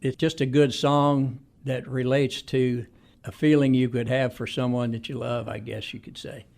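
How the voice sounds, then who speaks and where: Elderly male interview guest, 80s, from Indiana. Gravelly, even-pitched delivery, plain-spoken reminiscing. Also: normal speed. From Indiana, United States. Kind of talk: conversational reminiscing interview answers.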